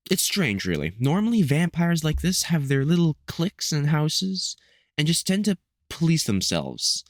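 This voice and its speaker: A man playing a gruff, protective veteran vampire hunter in an audio roleplay, talking hesitantly and with light sarcasm to the listener. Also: fairly high pitch, dry recording, clean studio sound, full character voice.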